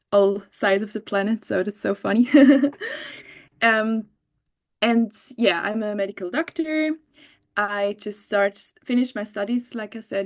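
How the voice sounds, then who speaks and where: A young woman from Switzerland with a Swiss German accent, a new medical doctor, giving an online workshop in a relaxed way with frequent fillers and giggles. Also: a little high. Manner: warm, giggly, many fillers. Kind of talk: casual online workshop talk. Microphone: video call.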